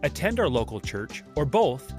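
A man reading a scripted welcome announcement for a church in a bright, upbeat way. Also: mid-range voice, sing-song, moderate pace. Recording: voice over background music.

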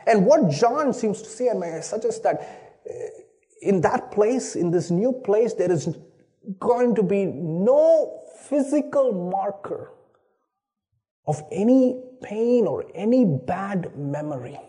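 Indian pastor guy, tamil speaker, high-pitched voice, preaching and telling a story, lots of restarts. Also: lively intonation, takes it slowly, mellow. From India (Chennai). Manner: earnest, storytelling, repeats words at the start of phrases. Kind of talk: sermon with personal story.

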